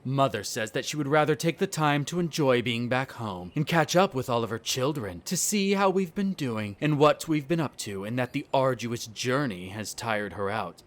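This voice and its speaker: A man's voice: mid-range voice, animated, moderate pace, hardly any pauses, lightly acted. A man playing a smug, disdainful vampire noble in a scripted roleplay audio drama, speaking formally with sardonic condescension. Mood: suspicious, explaining.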